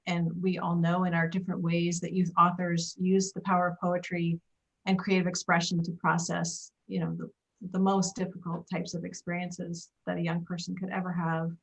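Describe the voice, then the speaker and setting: A woman giving an informal thank-you speech on a video call in a measured, reflective way. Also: fairly low pitch, poor audio. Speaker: board member giving a speech. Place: indoors, remote video call.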